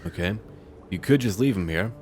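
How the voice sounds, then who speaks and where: Lower-voiced man narrating his gameplay. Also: lively intonation, a little mellow, a little hiss or hum. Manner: measured, musing, talks to himself. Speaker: let's play host. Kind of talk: narrated roleplay gameplay commentary.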